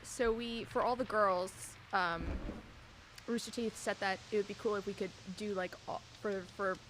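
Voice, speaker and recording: A woman with a mid-pitched voice chats casually as a guest on a panel. She sounds agreeable and halting, repeating words, with faint background noise. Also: fairly even intonation, a bit bright, rough recording. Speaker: game developer guest.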